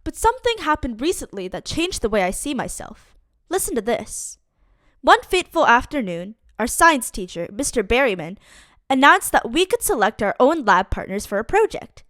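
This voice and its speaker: Lively woman reading a kids' story. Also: on the high side, moderate pace, dry recording, clean studio sound. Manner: lively, expressive reading for kids. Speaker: children's book reader. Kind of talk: read-aloud storytime.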